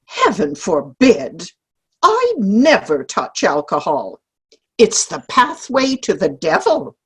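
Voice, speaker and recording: A woman's voice: mid-range voice, wildly swooping pitch, slow pace, husky, exaggerated voice. A woman playing a prim, polite and fussy grandmother in a comic play reading. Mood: prim, disapproving. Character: prim, pious, fussy grandmother Millie, gentle hostess. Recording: video call play reading.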